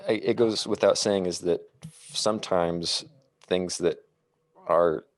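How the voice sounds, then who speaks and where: A man on a podcast panel casually discussing films in a measured, thoughtful, somewhat halting way. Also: low voice, fairly even intonation.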